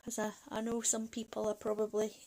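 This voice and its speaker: A woman's voice: on the high side, completely flat delivery, brisk, gravelly, dark tone. A woman in her thirties from Manchester, with a Mancunian accent touched by a Scottish lilt, chatting in a rambling way with many fillers as she hosts a livestream. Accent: Mancunian English with a Scottish lilt. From England, Manchester. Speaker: livestream host. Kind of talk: casual chat while colouring.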